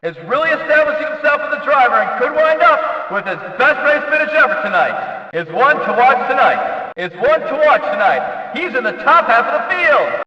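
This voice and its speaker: An excitable male race announcer for a racing video game. He pitches his voice very high and drives each call out with showy, emphatic force. It sounds distant from the mic, in a strongly echoing space. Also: muffled recording, full character voice. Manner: emphatic, showy, stretched-out delivery.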